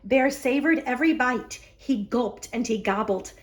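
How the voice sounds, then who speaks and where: A woman reading a picture book aloud for a children's story hour, expressive, with drawn-out emphasis on key words. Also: a little high. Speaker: library story hour reader.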